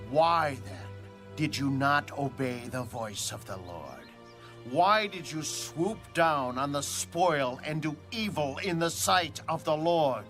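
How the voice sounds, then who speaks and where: Stern prophet for a Bible audio drama: grave, very measured rebukes, music underneath. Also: male voice, on the high side, fairly bright tone. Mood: stern, accusing. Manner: solemn, measured, accusing. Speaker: actor.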